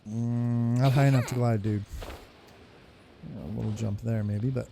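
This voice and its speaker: Mellow, creaky-voiced man commenting on a game. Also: medium pitch, fairly even intonation, moderate pace, a little hiss or hum. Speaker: gamer, playthrough host. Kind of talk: casual, muttered game commentary. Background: faint game music.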